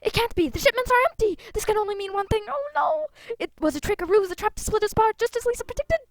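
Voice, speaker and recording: A woman's voice: very high voice, lively intonation, fast, no room sound, voice pushed up. A woman voicing an anxious, dramatic leader of magical riders in a fantasy game, breathless and theatrical. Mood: alarmed.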